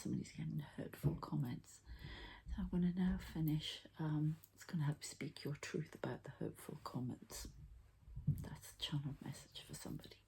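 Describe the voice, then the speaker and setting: A woman softly whispering in an unhurried, halting murmur, presenting an ASMR energy healing as the healer. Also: sits low, low-quality recording. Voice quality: soft, breathy whisper with little voicing. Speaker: energy healer presenting her own channel. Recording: faint background noise.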